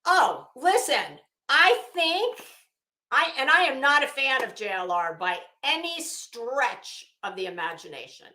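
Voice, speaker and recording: Middle aged lady doing casual livestream commentary, kinda high voice, really slow and emphatic, stop-start. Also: very animated, pushed and bright. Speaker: YouTube commentator and host. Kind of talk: casual live commentary with document read-outs. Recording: echoey room.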